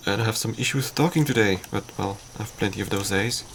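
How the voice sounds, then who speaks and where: Dutch-speaking man, low gravelly voice. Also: a little hiss or hum. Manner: halting, self-deprecating, thinks aloud with fillers. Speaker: streamer. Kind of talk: casual game commentary.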